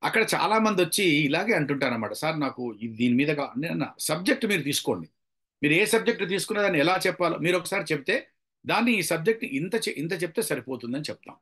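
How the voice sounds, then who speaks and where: Telugu guy, middle aged, bit higher pitched, teaching like a trainer, chatty, throws in english bits. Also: lively intonation, fast, fairly bright tone, breathy edge. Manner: conversational, rhetorical questions, mixes in English phrases. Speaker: public speaking trainer. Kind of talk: informal lecture with acted examples.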